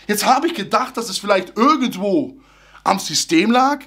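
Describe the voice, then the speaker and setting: A man, chatting casually to camera as a theme park vlogger in a small room, animated and a little loose with grammar. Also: sits high, moderate pace, faint music behind. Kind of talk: casual vlog talk to camera. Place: small room full of fan merchandise, indoors. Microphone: camera at close range.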